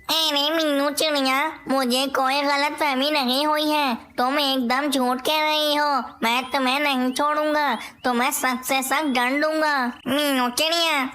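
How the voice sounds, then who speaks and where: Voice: high voice, sharp tone. A performer voicing a talking bird in a children's cartoon tale, speaking in simple storybook dialogue.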